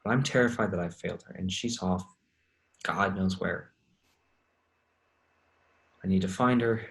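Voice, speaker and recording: A very low-pitched widower, grieving and anxious , as a man acts him in a staged reading. He speaks earnestly and haltingly, like someone telling his own story in a stage monologue. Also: gravelly, fairly bright tone, full character voice. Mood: anxious, pleading. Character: grieving anxious widower searching for runaway young sister-in-law.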